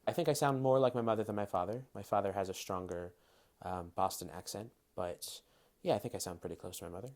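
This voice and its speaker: A man in his thirties from Massachusetts with a mostly general American accent and slight British traces, reading word lists carefully and then answering interview questions in a relaxed, hedging way. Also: fairly low pitch, fast talker. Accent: Massachusetts, largely general American with slight British traces. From Massachusetts, USA. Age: 30s. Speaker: dialect coach, interviewee.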